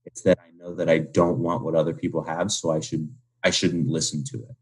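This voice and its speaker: A man in his twenties with a deep, husky voice. He speaks as a guest in a casual interview, candid and informal, leaning on slang and filler words. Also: little pitch movement, a little fast, a little mellow, rough recording. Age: 20s. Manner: informal slangy speech peppered with "like". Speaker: podcast guest, young entrepreneur.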